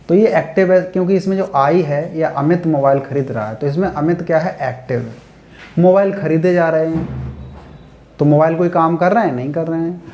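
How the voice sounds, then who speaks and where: A man's voice: a little high, mellow. A man with a North Indian accent teaching grammar step by step, repeating key points and answering his own questions. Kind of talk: classroom lesson, explaining step by step. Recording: echoey room with faint background noise.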